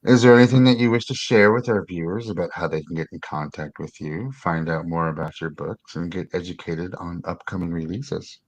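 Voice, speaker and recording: Very deep-voiced male host, dry sound. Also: a little fast, hardly any pauses, no room sound. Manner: warm, hesitant, many ums. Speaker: show host. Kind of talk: interview host wrap-up.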